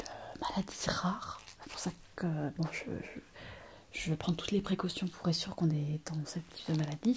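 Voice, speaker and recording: A gentle hospital doctor acted by a woman speaks in a soft whisper, pitched a little low. She is hesitant and reassuring, pausing often as in an ASMR roleplay examination. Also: lightly acted.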